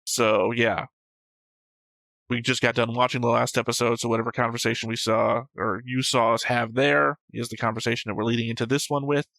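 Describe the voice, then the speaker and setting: A man's voice: mid-range voice, level tone, brisk, creaky, a bit bright, no echo. A man hosting a reaction show in a casual, conversational style. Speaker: host of an anime reaction show.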